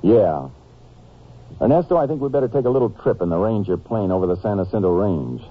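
A man acting a steady, shrewd Texas Ranger with an American accent in an old-time radio drama, in clipped, folksy Western talk. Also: on the low side, a little fast, husky. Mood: decisive. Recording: noisy, narrow-band old radio recording.

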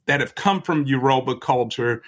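A man's voice: medium pitch, moderate pace, fairly bright tone. A man, hosting a conversational podcast and asking his guest thoughtful, open questions. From United States. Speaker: podcast host. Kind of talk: conversational interview.

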